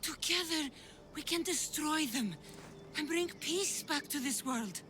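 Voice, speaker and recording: An actress playing a steadfast warrior woman and protective elder sister in a dramatic video game cutscene, earnest, measured and resolute. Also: high voice, animated, background noise. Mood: urging, resolute. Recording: loud music behind the voice. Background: dramatic music.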